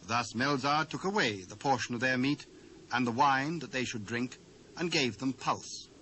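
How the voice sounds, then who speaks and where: A man's voice: mid-range voice, sharp tone. Formal British man narrating scripture. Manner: formal, measured. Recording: noisy old cassette transfer.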